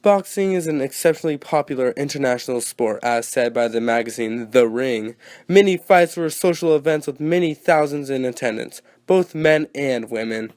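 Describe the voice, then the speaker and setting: A man's voice: medium pitch, expressive, moderate pace, hardly any pauses, a little mellow, no room sound, lightly acted. A man playing an eager, teasing 1920s radio sports co-host, peppy and earnest, full of period slang.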